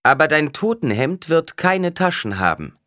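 A man voicing a stern, cryptic prophetess in an audiobook, intoning solemnly with a sharp edge. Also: medium pitch, lively intonation, unhurried, dry recording, clean studio sound, pitched above the performer's own voice. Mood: sharp, scornful.

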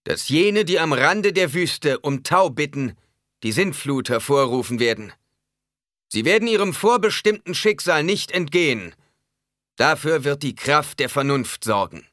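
A man voicing a fiery old desert preacher in an audiobook, proclaiming solemn warnings in a booming, declamatory way. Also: expressive, measured pace, pushed and bright, no room sound, clean studio sound. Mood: thundering warning.

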